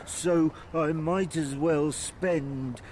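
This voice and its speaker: A childlike, obedient grown man in a radio drama. He talks slowly and literally in simple repeated phrases, a little high, over faint background noise. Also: fairly even intonation, darkish tone. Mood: placid, literal. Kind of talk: radio play dialogue.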